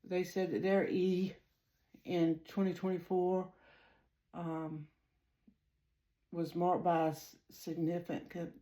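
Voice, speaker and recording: Speak as a Southern woman, warm and mellow, haltingly reading notes with plenty of ums. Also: fairly low pitch, little pitch movement. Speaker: psychic channeler. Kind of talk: casual spiritual reading monologue.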